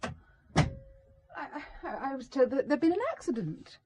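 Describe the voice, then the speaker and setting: A woman's voice: sits high, sing-song, unhurried, darkish tone. A woman playing an anxious, loyal secretary, hesitant, earnest and polite in an audio drama. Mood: anxious, relieved. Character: anxious, loyal British secretary, deferential but perceptive.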